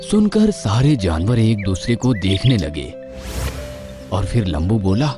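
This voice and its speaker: Guy narrating a kids story, super lively intonation, takes his time, music behind. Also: fairly low pitch, measured pace. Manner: expressive, storytelling. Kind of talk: scripted children's story narration.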